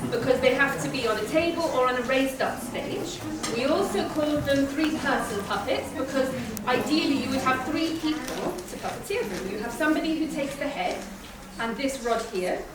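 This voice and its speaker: A woman's voice: high-pitched, normal speed. A woman puppeteer informally explaining and demonstrating her craft to people at a live event. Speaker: puppeteer, presenter. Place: large echoey indoor museum space. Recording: very echoey, distant, noisy crowded venue. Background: loud crowd and ambient noise.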